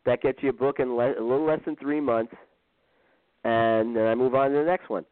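A man's voice: mid-range voice, steady tone, brisk, no echo. Self-deprecating man on a tinny line. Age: 50s. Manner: self-deprecating, hesitant with many ums. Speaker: author guest. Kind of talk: casual interview. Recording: tinny phone-line sound, dry.

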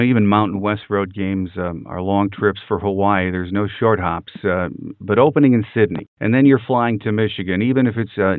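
A man's voice: fairly low pitch, no room sound. A man hosting a sports podcast, asking questions and commenting in a conversational way. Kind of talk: conversational interviewing. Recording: very noisy, narrow phone-like sound, clicks.

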